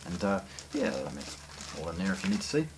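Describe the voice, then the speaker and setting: A man's voice: sits low, very animated, fast, background noise. A man giving unscripted unboxing commentary, explaining hesitantly and leaning on the openings of his phrases. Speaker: product presenter.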